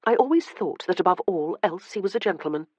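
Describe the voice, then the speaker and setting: A man's voice: high voice, expressive, quick pace, no room sound. A man voicing an earnest, composed young woman. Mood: hurt. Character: young woman, a teacher, hurt but composed.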